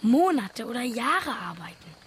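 A woman's voice: on the high side, very animated, unhurried, background noise. A woman playing a naive, anxious village child in a radio drama. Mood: wondering.

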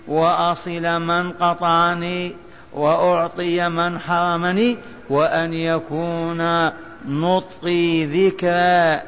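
A man from Algeria teaching as a religious scholar. He chants short memorized lists in a drawn-out, sing-song recitation and has his listeners repeat after him. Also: fairly high pitch, fairly bright tone. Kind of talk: lecture teaching by recitation and repetition. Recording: echoey hall, dull lo-fi sound, faint hiss.

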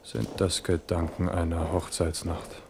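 Middle-aged king, low voice, commanding, sardonic, sharp questioning, radio drama. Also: monotone, a little slow, creaky, background noise. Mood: brooding, resigned. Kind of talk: German radio drama acting.

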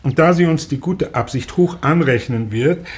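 An actor playing a middle-aged husband and father in a period radio drama, dry, sardonic and measured, with a husky voice. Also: fairly high pitch. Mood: deadpan sly.

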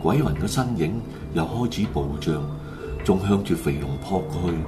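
A man's voice: low-pitched, animated, moderate pace. A man with a Hong Kong Cantonese accent, narrating a scripted radio drama as a storyteller. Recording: loud music bed under the voice. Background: music.

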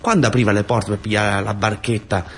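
A man's voice: fairly low pitch, flat delivery, a little fast, a little hiss or hum. A man with a southern Italian accent from the Taranto area performs a colloquial narrative monologue, insistently repeating words and phrases. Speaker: actor-storyteller.

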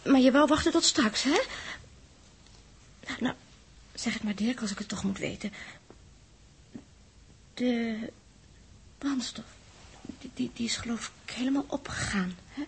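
An actress playing an anxious, tender young woman on a spaceship in a 1960s radio drama, halting and pleading. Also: fairly high pitch, creaky, a little hiss or hum. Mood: fearful, hushed.

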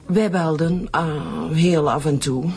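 Flustered defensive lady, lowish voice, hesitant, radio play, faint music. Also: slow, a little mellow. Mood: wistful, earnest. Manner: hesitant, pleading. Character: flustered, defensive, sentimental married woman under questioning. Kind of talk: radio drama acting.

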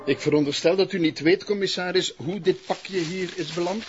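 An actor playing a smug, formal police investigator with a Flemish accent and an insinuating manner in a TV crime drama. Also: male voice, high-pitched, a little fast, highs cut off, music in the background, lightly acted. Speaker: police officer. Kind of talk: naturalistic TV crime drama acting.

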